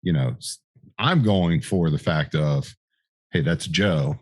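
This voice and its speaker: A man's voice: a bass voice, slow pace, no echo. A man chatting casually as the co-host of a podcast. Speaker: podcast co-host, Marine veteran. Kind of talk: casual chat.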